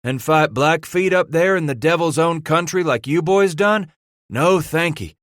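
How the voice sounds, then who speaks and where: A man's voice: on the high side, measured pace, a bit bright, no room sound, clean studio sound, pitched above the performer's own voice. A man reading aloud, voicing an independent, dismissive male free trapper with a drawl. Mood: scornful.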